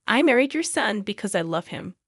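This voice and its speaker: A woman's voice: mid-range voice, big pitch swings, brisk, breathy edge, no echo, clean studio sound. A woman voicing a wronged, defiant young wife in a narrated story, challenging with questions. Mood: indignant.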